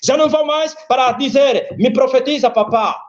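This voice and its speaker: A man's voice: moderate pace, muffled recording. A man, preaching emphatically on a live video call and quoting scripture. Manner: emphatic, swings high and low, quotes scripture. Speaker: apostle, preacher.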